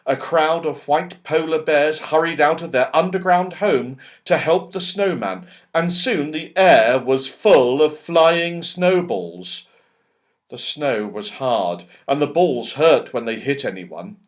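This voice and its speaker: A man reads a children's audiobook in a fairly high voice. His delivery is very animated and unhurried, with dramatic emphasis and a storyteller's phrasing. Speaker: audiobook narrator. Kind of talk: expressive scripted children's story narration.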